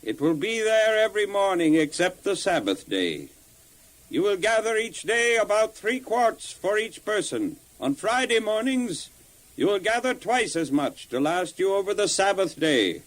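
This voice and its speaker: An actor playing a stern, solemn prophet who addresses his people in a declamatory, deliberate way in a children's audio Bible drama. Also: high voice, sing-song, rough recording. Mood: solemn, proclaiming.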